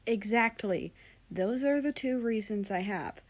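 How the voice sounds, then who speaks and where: A woman's voice: medium pitch, animated, measured pace. A woman voicing a stern older king and father from a story, speaking in a formal, authoritative manner. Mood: stern, instructing.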